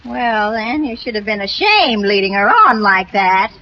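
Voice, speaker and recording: An actress playing a fussy, starstruck housekeeper, fluttery and earnest, in 1940s radio comedy style. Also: female voice, mid-range voice, sing-song, unhurried, some chatter behind. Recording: dull, hissy old radio recording.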